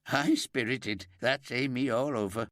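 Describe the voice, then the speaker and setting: A man's voice: a little slow, no room sound, studio quality, natural voice. A man doing a grieving old Yorkshire ex-miner. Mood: fond. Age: older. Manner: broad and homely, higher and warmer than the narrator.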